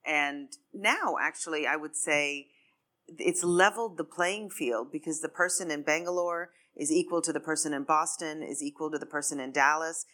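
A woman's voice: low voice, moderate pace, fairly bright tone. A woman who leads a marketing team, speaking earnestly on a live podcast panel and often restarting her words.

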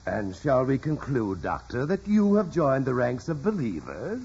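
Husky, swooping, stern prosecutor. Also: male voice, medium pitch, wildly swooping pitch, a little slow, darkish tone, full character voice. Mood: sceptical, indignant. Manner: formal, pressing, interrogating. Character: stern, sceptical male imperial prosecutor, threatening and persuasive. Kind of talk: 1940s radio acting. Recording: dull vintage radio broadcast.

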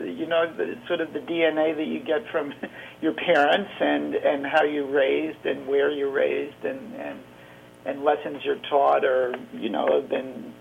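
A middle-aged man giving reflective interview answers in a halting, rambling, self-deprecating way. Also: a little high, vocal fry, highs cut off, slight background noise. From grew up in Geneva, Switzerland. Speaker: interview guest, documentary filmmaker.

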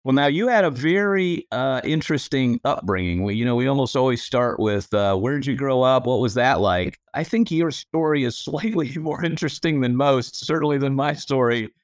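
A man's voice: medium pitch, lively intonation, normal speed, few pauses, no echo. A man co-hosting a podcast, chatty and rambling, with a self-deprecating manner. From United States. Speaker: podcast co-host. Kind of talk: casual interview chat.

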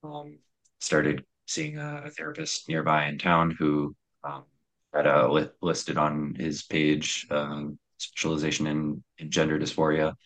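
A young man with a very deep voice telling his own story in a hesitant, reflective way, with many fillers and restarts. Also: a little slow, fairly bright tone.

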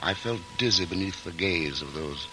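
A man narrates a 1940s radio drama in an earnest, polished way. His voice sits low. Music plays beneath the scene. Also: lively intonation, normal speed, poor audio. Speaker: lead actor and narrator. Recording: old radio broadcast with music.

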